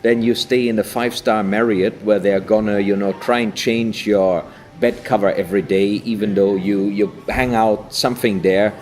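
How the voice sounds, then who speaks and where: A man's voice: lowish voice, fairly even intonation, normal speed, hardly any pauses, a little mellow. A German man with a German accent, answering interview questions in a rambling, conversational way with many fillers. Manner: rambling, with frequent fillers and restarts. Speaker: interviewee, inspirational speaker.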